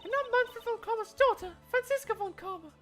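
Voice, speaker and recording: A man's voice: very high-pitched, brisk, a bit bright, soft background music, voice pushed up. A man voicing a haughty, precocious young girl prosecutor in a prim, self-assured game fandub manner.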